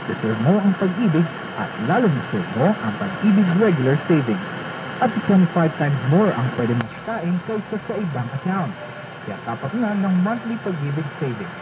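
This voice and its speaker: Lively commercial announcer, scripted ad read, dull noisy radio recording. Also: medium pitch, normal speed.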